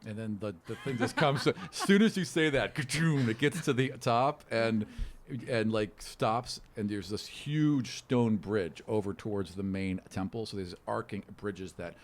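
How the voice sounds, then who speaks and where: A man working as game master, narrating a tabletop game in a casual, halting, descriptive way. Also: on the low side. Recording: faint background noise.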